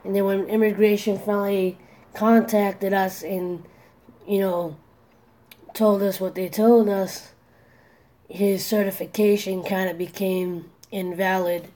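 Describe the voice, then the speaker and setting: A woman in her forties speaks slowly in a flat, low-key voice with a dark, mellow tone. She talks candidly to her vlog camera, earnest and a little worried, often restarting her sentences and leaning on "you know.". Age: 40s. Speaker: vlogger.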